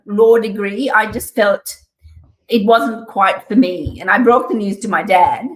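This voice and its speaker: A middle-aged woman from Sri Lanka, talking as a guest over a video call from her office, chatty with frequent um fillers and laughs. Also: mid-range voice. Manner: warm, chatty, laughs often, many um fillers. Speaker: guest, community organisation CEO. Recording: echoey room sound.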